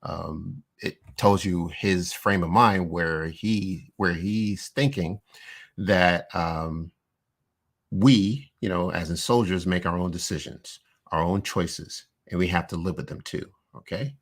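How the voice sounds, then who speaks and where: A low-pitched man co-hosting a relaxed live-stream recap. He talks loosely and animatedly, restarting sentences and leaning on "you know" as he walks through each scene. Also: slow pace. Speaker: podcast co-host. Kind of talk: casual live-stream chat recapping an episode.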